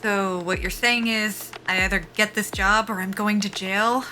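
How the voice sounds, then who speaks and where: An actress playing an anxious, self-deprecating young woman soldier in a sci-fi audio drama, halting and wry in a naturalistic style. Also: medium pitch, steady tone, sharp tone, slight background noise, natural voice. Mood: nervous.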